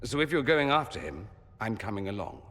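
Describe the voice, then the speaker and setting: Cocky, lively-voiced mage. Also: male voice, lowish voice, brisk. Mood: self-assured. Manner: droll, self-assured. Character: cocky, witty, theatrical mage ally. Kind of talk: dramatic video game acting. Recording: long hall reverb, music behind.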